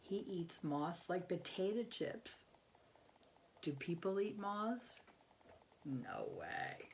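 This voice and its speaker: Low-voiced, unhurried American woman reading to children. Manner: expressive, simple explanations with frequent questions to the listener. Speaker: teacher reading a picture book to children. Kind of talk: children's read-aloud with asides to young listeners. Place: small bedroom at home, indoors.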